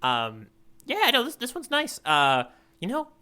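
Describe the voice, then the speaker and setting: A man's voice: very high voice, huge pitch swings, a little slow, pushed and bright, clear, resonant tone. A man co-hosting a casual podcast about manga, chatting in a playful, bantering way. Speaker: podcast co-host.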